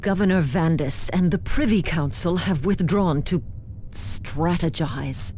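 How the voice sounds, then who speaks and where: A woman's voice: on the low side, unhurried, dark tone, a little hiss or hum, natural voice. A woman playing an earnest, formal planetary official under siege in a science fiction game, speaking precisely. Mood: anxious, contrite. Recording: clear music behind the voice. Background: game music.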